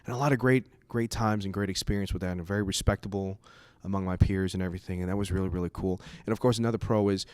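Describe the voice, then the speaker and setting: A man's voice: low voice, fairly even intonation, fast, a little mellow, clear, resonant tone, no echo. A man from the United States whose first language is Cantonese, speaking as a comedian in a casual, rambling, profane monologue. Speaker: stand-up comedian.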